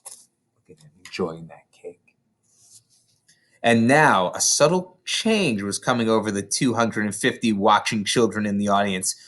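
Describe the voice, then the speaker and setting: Very animated storybook reader. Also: medium pitch, measured pace, pauses often. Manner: expressive, stumbles over words now and then. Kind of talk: animated read-aloud narration of a children's novel.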